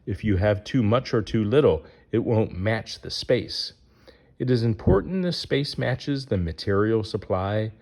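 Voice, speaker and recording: Low-voiced man reading a children's book aloud. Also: animated, a little slow, vocal fry, a little mellow. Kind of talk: read-aloud of a rhyming picture book for kids.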